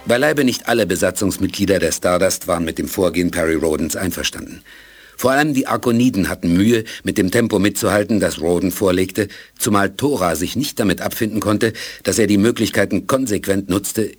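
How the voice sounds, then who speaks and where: A man narrating a radio play in a steady storytelling voice. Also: sits low, fairly quick, breathy edge.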